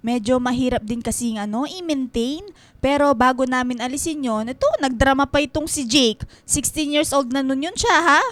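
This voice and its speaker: A woman's voice: sits high, moderate pace, no room sound, lightly acted. An actress playing a welcoming middle-aged mother in a radio drama, homely and teasing. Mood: chatty, teasing.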